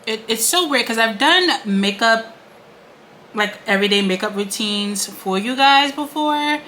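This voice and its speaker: A woman's voice: on the high side, lively intonation, a little slow, pushed and bright, slight background noise. A woman in her late twenties chatting casually at home while doing a makeup tutorial, with lots of "like" and "um" fillers. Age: late 20s. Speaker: beauty vlogger. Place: home, indoors.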